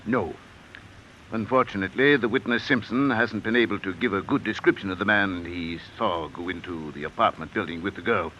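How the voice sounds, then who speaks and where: A grave college dean in an old radio play. His voice sits a little low with a crisp, forceful edge. Delivery is formal and businesslike, over faint broadcast noise. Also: fairly quick, highs cut off. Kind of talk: 1950s radio drama acting.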